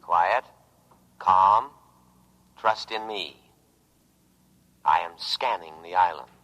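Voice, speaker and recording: An actor playing a calm, pompous, paranoid war machine, a talking lifeboat, with solemn, measured oratory in an old radio drama. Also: male voice, low voice, exaggerated voice. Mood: coolly suspicious. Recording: muffled vintage radio broadcast recording.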